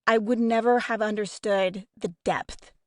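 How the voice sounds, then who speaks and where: A woman's voice: medium pitch, steady tone, measured pace, a little mellow, dry recording, studio quality. A woman explaining her approach earnestly in a casual, reflective panel discussion. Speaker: voice actor on a podcast panel.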